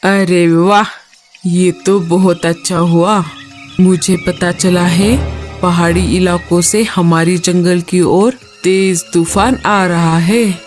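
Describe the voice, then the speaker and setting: A voice actor doing a scheming old crow in a cartoon. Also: male voice, high voice, steady tone, slow, faint music behind. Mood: gloating. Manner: gloating, sly.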